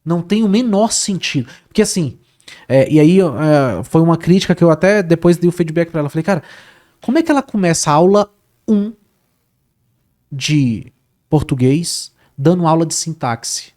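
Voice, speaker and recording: Male guest, casual animated storyteller, repeats himself, lots of fillers. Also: fairly high pitch, normal speed. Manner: animated, repeats words, says "cara" often. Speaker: guest, Portuguese teacher.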